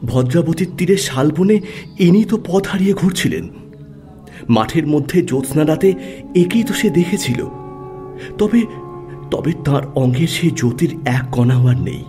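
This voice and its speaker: Male narrator, warm dark tone, highly varied intonation, measured dramatic pauses, literary storytelling, soft music behind. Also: lively intonation.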